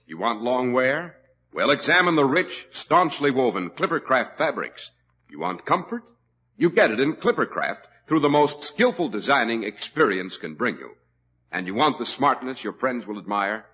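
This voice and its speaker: A man's voice: mid-range voice, sharp tone, slightly rough. A male radio announcer reading a 1940s commercial in an emphatic, salesy way. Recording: old lo-fi radio broadcast.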